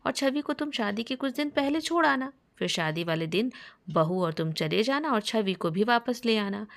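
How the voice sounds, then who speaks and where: A woman's voice: fairly high pitch, animated, moderate pace, creaky. A woman voicing a kindly old grandfather who coaxes his family affectionately in a story. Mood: affectionate, persuasive. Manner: affectionate, coaxing.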